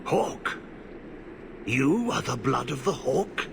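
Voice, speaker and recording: An actor playing a half-mad, blighted old warden in a video game, muttering in halting, repetitive fragments. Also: male voice, mid-range voice, big pitch swings, creaky, background noise, exaggerated voice. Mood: muttering, agitated. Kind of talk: dramatic video game acting.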